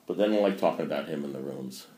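Deep-voiced guy in his 60s at a meeting, rambles and preaches, roomy echo. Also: fast, mellow. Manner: preachy, rambling, self-mocking. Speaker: speaker at a recovery meeting. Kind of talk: meeting talk. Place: meeting room. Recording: echoey room.

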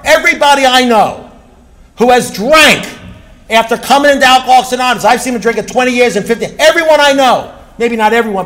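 A man's voice: very high voice, a bit bright. A man giving an impassioned talk at a recovery meeting, blunt and emphatic, hammering points with repetition and the odd curse word.

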